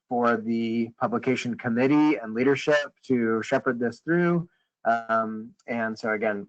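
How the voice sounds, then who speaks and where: A man leading a conference call, briefing informally in a hesitant, halting way and often rephrasing mid-sentence. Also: mid-range voice, a bit bright. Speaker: meeting leader on a conference call. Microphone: conference call line. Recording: dull, lo-fi conference call audio.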